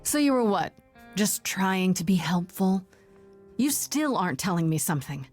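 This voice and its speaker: An actress playing a young heroine in a video game, blunt and probing, talking in a direct, conversational way. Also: female voice, medium pitch, very animated, moderate pace, a little mellow, faint music behind, close to an everyday voice. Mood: accusing. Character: young woman Grace, blunt, probing, guarded newcomer heroine. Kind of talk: video game dialogue acting.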